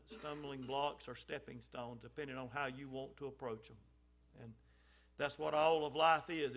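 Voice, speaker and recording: Bright-voiced man giving a church talk. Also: mid-range voice. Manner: folksy and conversational, with frequent ums and restarts. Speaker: lay speaker at a church service. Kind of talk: informal church message.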